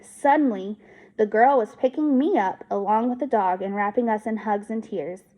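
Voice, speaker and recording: A woman teacher reading a children's book aloud in an expressive storytelling style. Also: mid-range voice, moderate pace, highs cut off.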